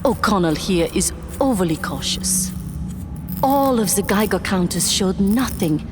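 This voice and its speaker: A woman playing a theatrical, flattering scientist in an audio drama, persuasive and given to grand, poetic flourishes. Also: medium pitch, measured pace, lightly acted. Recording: long reverb, music behind. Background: music.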